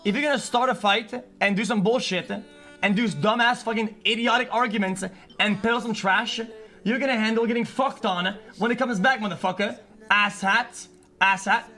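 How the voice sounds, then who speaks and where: A man with a notably high voice rants off the cuff as a livestreamer. He is agitated and profane, repeating himself and tripping over words, with light music behind him. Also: normal speed, pushed and bright. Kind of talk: unscripted rant to chat.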